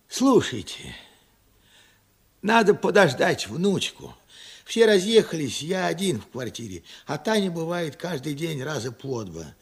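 Tired old man in a radio drama, slow and halting, voice jumps up and down a lot. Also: on the high side, breathy edge, exaggerated voice. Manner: halting, musing, swinging from murmurs to outbursts. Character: weary, forgetful old man, wistful and searching. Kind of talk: Soviet radio play acting.

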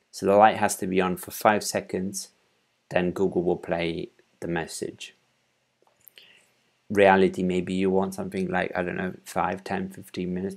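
Low, gravelly man, flat delivery. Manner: halting, thinking aloud while working. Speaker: tutorial presenter. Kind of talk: informal screencast tutorial, explaining step by step. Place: small room at home, indoors.

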